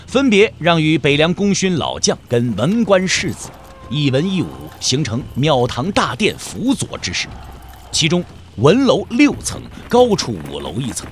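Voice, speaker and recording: A man's voice: fairly high pitch, slight background noise. A man narrating a Chinese martial-arts audio drama in a scripted, dramatic storytelling style. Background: music.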